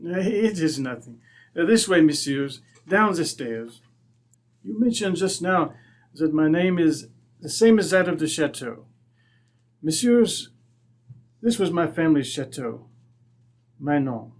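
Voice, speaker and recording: A man playing a proud, courteous French viscount with a French accent and halting, formal English in a radio drama. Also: fairly high pitch, lively intonation. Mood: wistful, polite. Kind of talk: old-time radio drama acting.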